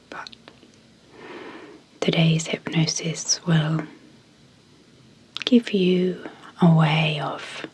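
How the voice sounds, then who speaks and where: A woman speaking softly as an ASMR host, guiding sleep hypnosis in a hushed, gentle, deliberate way with long pauses. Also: low voice, low-quality recording. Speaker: ASMR host and clinical psychologist.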